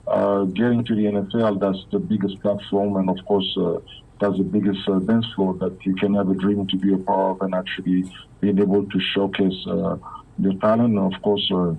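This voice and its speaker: A man from Belgium, a former pro football player, talking as a guest in an interview, halting with many repeated words and fillers, over a phone line. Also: sits low, level tone, creaky, slightly rough. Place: Africa.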